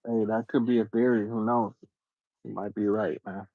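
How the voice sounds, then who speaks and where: A man's voice: lowish voice, little pitch movement, moderate pace, dry recording, phone-quality sound. A man hosting a casual livestream interview, slangy and agreeable, asking questions in a laid-back way.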